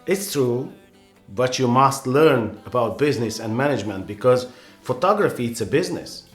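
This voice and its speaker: An earnest man with a Hungarian accent talks through his advice, voice pitched in the middle range, circling back with plenty of restarts and "you know"s. He sounds like he's in a roomy, echoing space, with faint music somewhere behind him. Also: lively intonation, normal speed. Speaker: photographer giving advice. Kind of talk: casual advice vlog.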